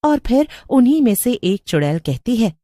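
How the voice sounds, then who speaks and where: A woman's voice: on the high side, big pitch swings, normal speed, dark tone, dry recording, clean studio sound. A woman narrating a scripted horror story as the storyteller.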